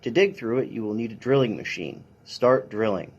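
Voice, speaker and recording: Casting: man with a lower, husky voice, reading a picture book to children, instructional and a little dry. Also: lively intonation, moderate pace, dull audio. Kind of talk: read-aloud of a children's science picture book.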